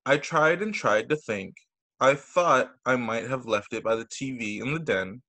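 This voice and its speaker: A man, a teacher, reading children's stories aloud over a video call in an expressive, sing-song storytelling way. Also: mid-range voice, a little slow. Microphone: video call microphone.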